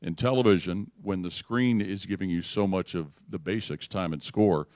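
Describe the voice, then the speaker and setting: A man with a very low voice explains things as a sportscaster on a panel. He is orderly and measured in his unscripted talk. There is little room sound around him. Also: darkish tone, no room sound, clean studio sound.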